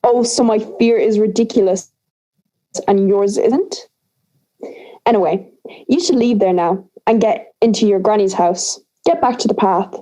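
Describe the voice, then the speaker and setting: Mellow, dry schoolgirl friend, acted by a young woman. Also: medium pitch, unhurried, lightly acted, poor audio. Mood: bossy, exasperated. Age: young adult. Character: wry schoolgirl friend, sheepish but bossy. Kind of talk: audio drama dialogue.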